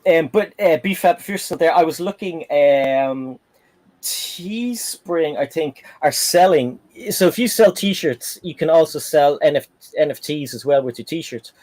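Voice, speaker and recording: A man with an Irish accent hosting a casual live-stream panel chat, telling animated stories. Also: fairly high pitch, measured pace. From Ireland. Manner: animated, chatty storyteller.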